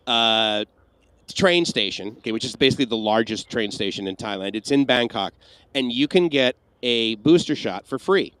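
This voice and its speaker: A man's voice: medium pitch, animated, normal speed, a bit bright, smooth, dry recording. A man co-hosting a livestream Q&A, chatting casually and rambling with asides and restarts. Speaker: livestream co-host. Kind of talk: casual Q&A chat.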